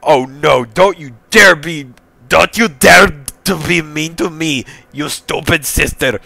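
A man's voice: slow, creaky, sharp tone, no room sound, heavily acted. A man voicing a bratty little cartoon boy with a strained, whiny, shrieking delivery in a horror story. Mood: defiant, whiny. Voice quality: shrill, strained.

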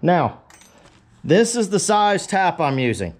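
A man's voice: high-pitched, sing-song, slow. A man giving a casual, unscripted how-to demonstration in a folksy, plain-spoken way, talking to viewers as a blacksmith at work. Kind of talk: casual unscripted workshop tutorial talk. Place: cluttered forge workshop. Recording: noisy workshop with power tools and clatter.

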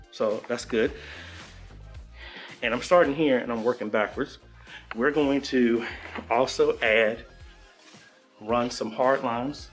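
A man in his thirties talking informally and practically, thinking aloud as he explains an installation in a casual vlog style. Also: mid-range voice. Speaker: church audio-video installer and vlogger.

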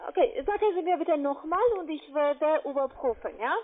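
A high female voice with a foreign accent comes down a narrow, muffled phone line. She is a call center agent who walks a customer through steps, pressing and repeating her requests. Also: lively intonation, normal speed. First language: not German. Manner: insistent, repetitive. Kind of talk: phone sales instructions.

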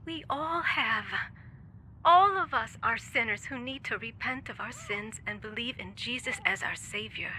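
An earnest, bright-voiced believing friend. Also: female voice, on the high side, a little slow, husky, heavily acted. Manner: earnest, pleading.

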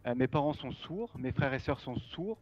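A man in his forties answering questions over a video call, speaking haltingly with frequent fillers. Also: medium pitch, expressive, lo-fi sound. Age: 40s. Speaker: guest sign language trainer. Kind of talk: casual interview answers.

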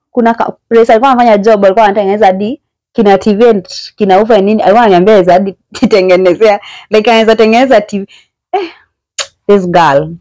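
A woman's voice: medium pitch, dark tone, no echo. A young woman with a Kenyan accent gives a chatty, admiring tour of a small room, recorded on a handheld phone. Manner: chatty and admiring, mixing English with Swahili slang. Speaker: vlogger giving a house tour. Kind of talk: casual house-tour narration. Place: small indoor bedsitter room.

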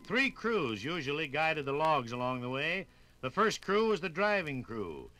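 A man's voice: on the high side, sing-song, measured pace, bright, forceful tone. An American man narrating a scripted 1950s radio documentary in a dramatic, folksy storytelling manner.

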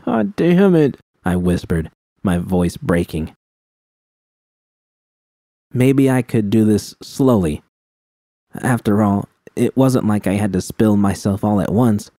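A man reads a first-person story in a quiet, somber, measured way. His voice sits low with a dark, mellow tone. The sound is dry and close, with no room around it. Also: dry recording. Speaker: audiobook reader. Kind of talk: reading first-person fan fiction aloud.

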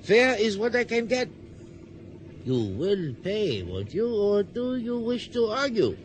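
An actor playing a suspicious, grasping island supply trader in a radio drama, needling with short questions. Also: male voice, high voice, wildly swooping pitch, slow pace, slight background noise, heavily acted. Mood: needling, demanding.

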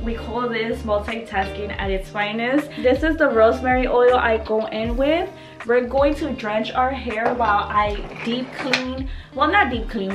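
A woman in her twenties chatting casually as a vlogger, informal and slangy with a self-deprecating streak, recorded on a camera in a bedroom. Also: a little high. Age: 20s. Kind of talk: casual chatty vlog narration. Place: small apartment bedroom, indoors. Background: background music.

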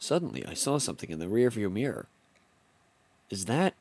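A man's voice: lowish voice, big pitch swings, brisk, gravelly, darkish tone, dry recording. A young man narrating a home-made comedy film in the first person, with playful, mock-dramatic storybook delivery.

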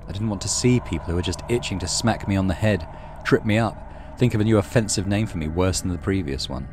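A man reading a horror visual novel aloud as dramatic, measured narration. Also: sits low, background noise. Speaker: gaming channel host reading visual novel. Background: music.

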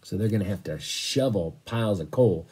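A man in his fifties reading a children's novel aloud expressively, pausing to explain it to a class. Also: fairly low pitch, lively intonation, darkish tone, a little hiss or hum. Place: small bedroom, indoors.

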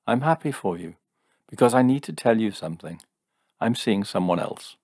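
A man voicing a calm, gently reproving young woman with teasing wit in an audiobook, soft-spoken and matter-of-fact. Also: lowish voice, moderate pace, slightly rough, no echo, studio quality, voice pushed up. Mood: gentle, frank.

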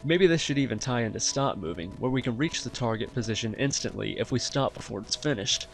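A man hosting a software tutorial, explaining each step in an instructional way. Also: medium pitch, expressive, brisk, gravelly, soft background music. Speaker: tutorial host. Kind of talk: software tutorial narration over screen recording.

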